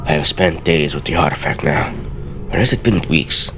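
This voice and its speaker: Male let's play host, extremely low gravelly voice, theatrical reader, game music underneath. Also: normal speed. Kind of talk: game commentary with dramatic reading of in-game texts.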